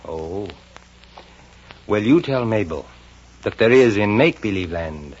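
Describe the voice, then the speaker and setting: An actor playing a gentle, pedantic gentleman who tells stories to a child with precise, slightly hesitant, instructive delivery. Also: lowish voice, animated, a little slow, husky. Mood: indulgent, kindly. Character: gentle, pedantic storytelling gentleman, Mister Dodson. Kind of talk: old film drama acting, reading a story to a child. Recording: dull lo-fi vintage soundtrack, faint music.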